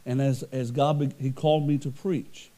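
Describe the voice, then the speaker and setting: A middle-aged man preaching a sermon as pastor, with a loud, carrying voice and an earnest, conversational delivery full of restarts. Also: mid-range voice, flat delivery, moderate pace. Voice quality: loud voice that carries.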